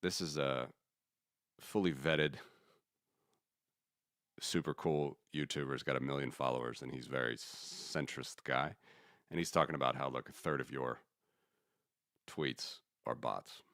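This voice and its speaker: A man's voice: extremely low pitch, unhurried, long pauses, fairly bright tone, no room sound, clean studio sound. A man who grew up in the United States, hosting a casual economics podcast and thinking aloud in a rambling, opinionated way with many restarts. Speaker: podcast host.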